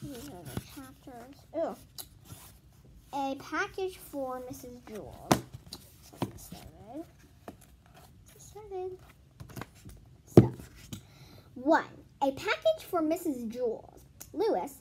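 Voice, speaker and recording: A female speaker in a casual home read-aloud and vlog, chatting informally with muttered asides to herself. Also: high-pitched. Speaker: host reading a children's book aloud. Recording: noisy home recording with clicks.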